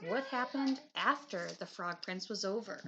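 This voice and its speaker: A woman narrating a children's picture book aloud in an expressive storytelling style. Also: mid-range voice, normal speed, lo-fi sound, heavy background noise. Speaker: picture book reader, teacher.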